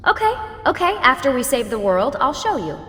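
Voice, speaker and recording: A woman's voice: high-pitched, a little fast, husky, full character voice. An actress in a video game playing a composed, serious young female team leader with an American accent. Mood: lightly teasing. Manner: earnest, steady.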